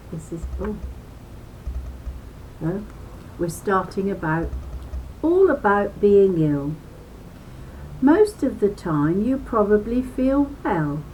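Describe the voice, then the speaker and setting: Woman, unhurried teacherly read-aloud for kids, emphatic with chatty asides, faint rumbling noise on the recording. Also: dark tone, poor audio. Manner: teacherly, emphatic, addresses listeners with questions. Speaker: reader of a children's book.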